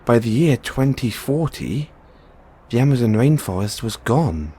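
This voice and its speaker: Eccentric mad scientist boyfriend, played by a man for audio roleplay: husky, theatrical, geeky, unhurried, big pitch swings. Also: sing-song, mellow. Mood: dismayed. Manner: theatrical, geeky, hesitant musing between outbursts. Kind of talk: audio roleplay acting, addressed to listener.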